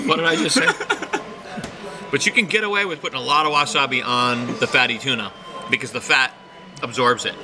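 Chatty man tasting sushi, noisy restaurant. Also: mid-range voice. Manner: chatty, playful, reacts loudly to food. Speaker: food vlogger host. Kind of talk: casual food tasting vlog. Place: small sushi restaurant booth, indoors. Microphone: handheld camera close up.

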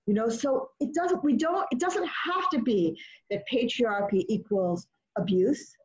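A woman's voice: a little high, a little slow, a little mellow. A woman leading an online study session, talking earnestly and repeating phrases as she thinks aloud. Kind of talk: online class discussion. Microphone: laptop microphone on a video call. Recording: dull lo-fi video call audio.

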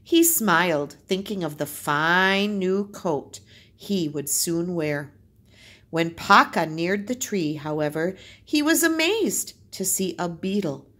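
A woman's voice: low voice, fairly bright tone. A woman teacher reading aloud and explaining in simple phrases, as in a storytime lesson.

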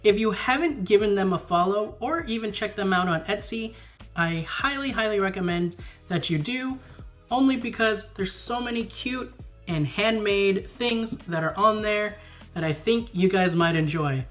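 A man's voice: high-pitched, normal speed, fairly bright tone, soft background music. A young man chatting casually to his viewers in a travel vlog, giggly and direct, filmed at home by a window. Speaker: vlogger.

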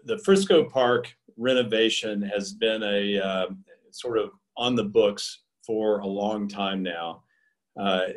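A man in his fifties, a city official, giving a webinar update from home over a video call, speaking in a measured way with frequent fillers and restarts. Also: fairly low pitch. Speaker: city community development director. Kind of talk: webinar presentation. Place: home room. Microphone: laptop webcam on a video call.

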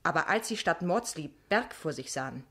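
A woman's voice: sits low, animated, moderate pace, pushed and bright, exaggerated voice. An actress playing a determined young woman barrister, insistent and probing, in a German radio play. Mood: pressing, accusing. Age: young adult.

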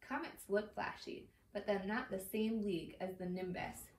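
A woman voicing a cheeky, excitable schoolboy wizard in a storybook reading, in an echoey room. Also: medium pitch, normal speed, close to an everyday voice. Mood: boastful taunting. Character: envious, cheeky schoolboy wizard, sarcastic best friend. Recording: roomy echo, distant mic, faint noise.

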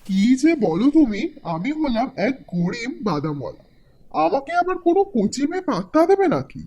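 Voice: mid-range voice, very animated, moderate pace, darkish tone, husky. An actor voicing a poor, naive, aging village peanut seller in a cartoon, in a simple, folksy, bashful manner. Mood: dismissive. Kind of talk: Bengali cartoon voice acting.

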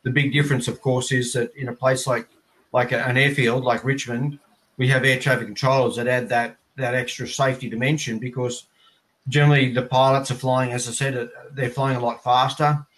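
A man's voice: medium pitch, flat delivery, brisk, fairly bright tone, rough recording. An Australian man in his fifties giving conversational explanations as an interview guest on a video call. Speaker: interviewee, air traffic controller. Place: plain indoor room, video call.

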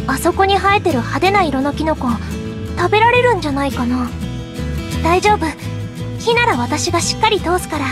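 A woman voicing a sweet, earnest young woman in an anime game, gentle and playfully deadpan. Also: very high-pitched, normal speed. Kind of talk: Japanese anime game voice acting. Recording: clear background music.

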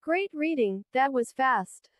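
A woman voicing a friendly animated girl helper in a learning app, encouraging and carefully enunciated. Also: sits high, takes it slowly, dry recording. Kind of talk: slow encouraging read-aloud prompts.

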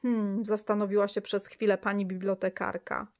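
A woman reading a children's story aloud, lively, with the children's lines in brighter, higher voices. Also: moderate pace.

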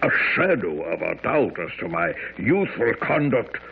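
Husky low-voiced proud anxious king, swooping emphatic delivery, formal, muffled tinny old radio drama. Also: big pitch swings, a little slow, heavily acted. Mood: agitated. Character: proud, anxious young European king confessing youthful folly. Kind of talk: 1970s radio drama acting.

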